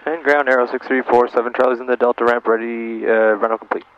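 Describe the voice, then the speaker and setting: A man's voice: mid-range voice, steady tone, fast talker, highs cut off. A man piloting a small plane, thinking aloud in short procedural phrases and making radio calls over a headset. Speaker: pilot narrating his own flight. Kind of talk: cockpit vlog narration mixed with radio calls. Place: small airplane cockpit. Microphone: aviation headset. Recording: faint engine and radio noise.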